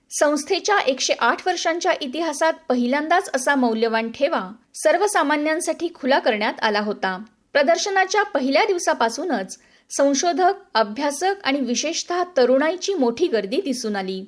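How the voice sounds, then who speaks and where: High-pitched woman reading scripted radio news. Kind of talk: scripted newsreel narration.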